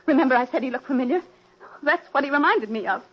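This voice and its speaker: An actress playing a trusting, lovestruck and uneasy woman, halting and emotional, in 1940s radio drama style. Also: high-pitched, animated. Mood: faint, dazed. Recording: muffled old radio recording, faint noise.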